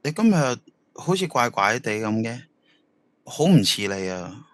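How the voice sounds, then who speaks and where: A man's voice: medium pitch, sing-song, a little slow. An actor playing a conflicted, remorseful young man in an audio drama, earnest and introspective, with a Hong Kong Cantonese accent. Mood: hesitant, troubled. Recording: long hall-like reverb.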